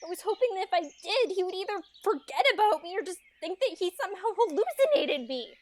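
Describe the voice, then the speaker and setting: Woman as blunt, high-voiced, exasperated young maiden. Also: expressive, fast. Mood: alarmed. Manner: modern, casual, dry sarcasm. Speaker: voice actor. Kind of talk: comedic fairytale audio drama acting.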